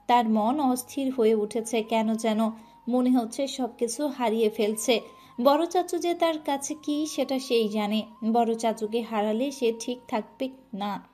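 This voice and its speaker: A woman's voice: on the high side, a little mellow. A woman narrating a romantic family story in an emotional, earnest style.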